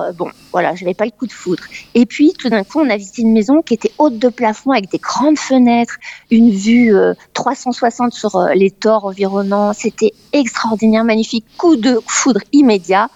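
Animated French woman, muffled dry sound. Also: a little high, no echo. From Paris, France. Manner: animated, exclamatory, many hesitations. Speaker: novelist guest, former dental expert. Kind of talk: radio interview, conversational.

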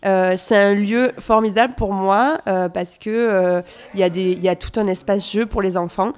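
A woman's voice: mid-range voice, steady tone, moderate pace, a little mellow, clear, resonant tone. Hesitant woman chatting in a café. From France. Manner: hesitant, many fillers and restarts. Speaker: interview guest, doula. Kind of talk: casual interview conversation. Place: small family café. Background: family chatter in the café.

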